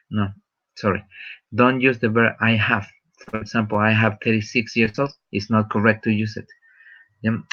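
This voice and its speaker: Low-voiced man teaching English. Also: fairly bright tone. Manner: halting, repeats and rephrases, checks with "right?". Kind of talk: online lesson, explaining a task. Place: online session with screen sharing. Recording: dull lo-fi online session audio.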